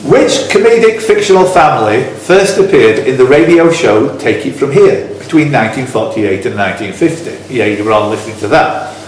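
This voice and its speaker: A man's voice: medium pitch, lively intonation, brisk, few pauses. A man working as a quizmaster, reading out questions with blunt, teasing, informal banter. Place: echoey indoor club room. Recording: very echoey room, faint background noise.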